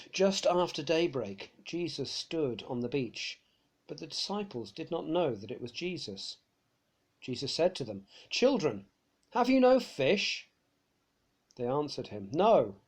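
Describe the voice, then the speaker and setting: Man, scripture reader, measured pace, mid-pitched voice. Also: darkish tone. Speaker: Bible reader. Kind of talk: church scripture reading.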